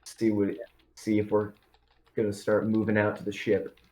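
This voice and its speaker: A man playing a practical, steady spaceship crew member with casual, matter-of-fact phrasing in a tabletop game. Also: lowish voice, normal speed, lightly acted. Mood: determined. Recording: echoey room.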